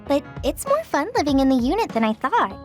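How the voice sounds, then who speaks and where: A young female soldier in a video game cutscene. Her voice is high and swings expressively, sincere with a bashful edge, as a devoted friend. Faint music runs underneath. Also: sing-song, a little fast. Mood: fond, open. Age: young adult. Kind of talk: English video game voice acting.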